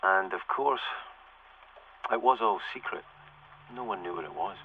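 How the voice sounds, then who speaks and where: A man playing a weary, cynical security corporal in a video game, recording a halting, rueful audio diary as a survivor of a flooded world. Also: low-pitched, lively intonation, phone-quality sound, a little hiss or hum. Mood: wry, bitter. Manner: halting, rueful, confiding, with pauses and self-corrections. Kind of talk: in-character audio diary monologue, dramatic game acting.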